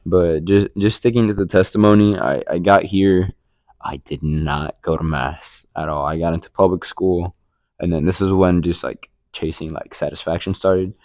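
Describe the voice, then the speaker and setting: A man's voice: sits low, fairly even intonation, moderate pace, a little mellow, no room sound, clean studio sound. A young man from Rico, sharing his faith story in a rambling casual chat full of fillers and restarts. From Puerto Rico. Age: young adult. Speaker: podcast co-host.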